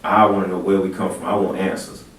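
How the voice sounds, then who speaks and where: A man's voice: low-pitched, flat delivery, fast talker. A man hosting a casual religious discussion, talking animatedly and pushing his points with emphasis. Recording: echoey room, distant mic, noisy.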